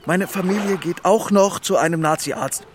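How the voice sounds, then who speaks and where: Outraged high-voiced young man played by an actor. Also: normal speed, noisy background, close to an everyday voice. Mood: shocked, outraged. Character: outraged, disbelieving young man confronting a friend. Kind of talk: radio drama acting.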